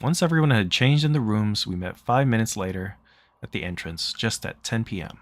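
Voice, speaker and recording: Lower-voiced man narrating a visual novel. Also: animated, normal speed, no room sound. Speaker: game player reading the text aloud. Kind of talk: narrating a visual novel aloud during play.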